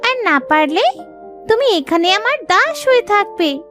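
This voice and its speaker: Very high-pitched magical talking puppy. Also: big pitch swings, a little slow, pushed and bright, faint music behind, exaggerated voice. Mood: challenging. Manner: commanding, testing, riddling. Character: talking magical puppy guarding a silver bamboo grove. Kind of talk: children's fairy tale cartoon dubbing.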